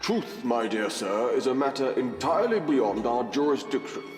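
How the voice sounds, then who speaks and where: A smug, pompous male official of Victorian audio drama, acted by a man, relishing his own obstruction. His pitch swoops theatrically, and his voice rings in an echoey hall with music behind. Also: very animated, normal speed, a little mellow, close to an everyday voice. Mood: smug, grandiose. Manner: pompous, theatrical, savouring each phrase. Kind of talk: audio drama acting of Victorian period fiction.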